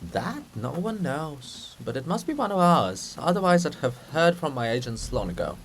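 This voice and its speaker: A man's voice: on the high side, very animated, normal speed, a little hiss or hum. A man voicing a scheming, fussing male statue of a statesman in a play reading, with a wheedling, explanatory way of talking. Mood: scheming, conspiratorial.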